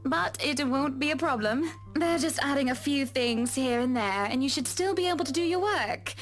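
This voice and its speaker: A man doing a high-voiced gracious rich lady. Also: moderate pace, fairly bright tone, faint music behind. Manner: gracious, theatrical hostess. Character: glamorous rich woman, mansion owner, gracious.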